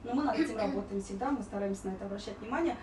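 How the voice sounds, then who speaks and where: A woman's voice: medium pitch, very fast, over a noisy crowd. A woman giving an informal singing lesson as a vocal coach, explaining and demonstrating vowel sounds. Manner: explanatory, demonstrating vowels and sung warm-ups. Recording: roomy echo, distant mic, music behind.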